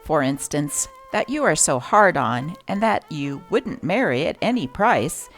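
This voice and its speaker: A woman hosting a show that reads aloud from old newspapers, with an expressive, varied delivery. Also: unhurried, faint music behind. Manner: expressive reading with lively pitch. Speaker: channel host reading old newspapers.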